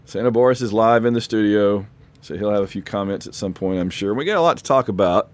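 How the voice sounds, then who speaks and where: A man's voice: fairly low pitch, fast. A man hosting a sports podcast, his voice scratchy from losing it, rambling casually. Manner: rambling, self-deprecating. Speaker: podcast host.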